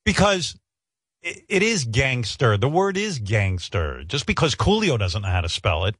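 A man's voice: medium pitch, very animated, normal speed, fairly bright tone, slightly rough, no echo. Radio guy ranting and laughing, swears a lot, voice jumps all over, dry close sound. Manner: profane, indignant, laughing. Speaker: radio show host. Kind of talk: casual radio banter.

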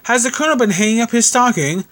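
A man voicing a teasing, lively young male boarder with a jocular, mischievous manner in a storybook reading. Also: very high voice, fast talker, voice pushed up. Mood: curious, teasing.

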